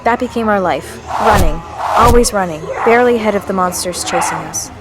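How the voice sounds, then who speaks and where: A woman's voice: mid-range voice, a little fast, lightly acted. An actress playing an earnest teenage girl in a zombie audio drama, confiding and reflective as she narrates her diary. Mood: tense, urgent. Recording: music under the voice. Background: music.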